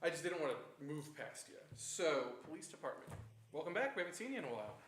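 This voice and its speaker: A man chairing a town council meeting, brisk and procedural, often trailing off mid-sentence. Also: a little high, sing-song, fairly bright tone, a little hiss or hum. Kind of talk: running a public meeting. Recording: echoey room with people around.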